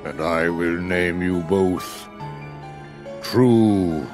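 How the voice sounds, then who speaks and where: An old wizard master with a low voice and slow delivery. He is stern and authoritative, giving solemn game exposition over prominent music. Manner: measured, authoritative.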